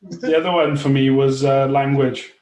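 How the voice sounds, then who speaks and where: A man's voice: medium pitch, fairly even intonation, moderate pace, darkish tone. A man co-hosting a podcast, laid-back, mostly giving short replies. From Wales. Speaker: podcast co-host. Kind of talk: casual podcast chat. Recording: roomy echo, slight noise.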